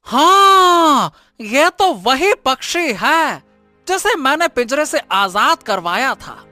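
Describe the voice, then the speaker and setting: A woman voicing an excitable, naive young exiled prince in a cartoon story, theatrical and hesitant, often repeating exclamations. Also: high voice, takes it slowly, no room sound, exaggerated voice. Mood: dismayed. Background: music.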